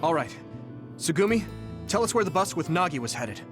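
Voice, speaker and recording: A man's voice: a little high, very animated, fairly quick, over music. A man playing an earnest, polite, sheltered young soldier hero in a video game cutscene. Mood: determined.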